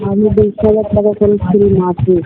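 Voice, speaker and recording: A woman's voice: medium pitch, level tone, a little fast, over music. A woman with a Bangladeshi accent chatting casually and intimately on the phone, in a teasing, complaining manner. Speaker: wife on a call with her husband abroad. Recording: tinny phone line with clear noise.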